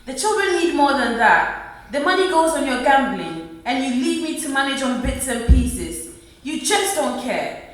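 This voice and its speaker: A woman's voice: sits high, fairly bright tone. An actress playing a careworn, loving, long-suffering 1950s wife and mother in a radio play, earnest and plaintive. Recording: very echoey room, distant mic.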